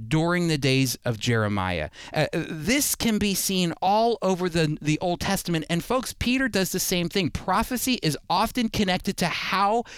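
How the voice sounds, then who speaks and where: A man's voice: sits high, fairly bright tone, smooth, dry recording. A man preaching a sermon, addressing listeners directly and repeating key points for emphasis. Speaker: preacher and Bible teacher.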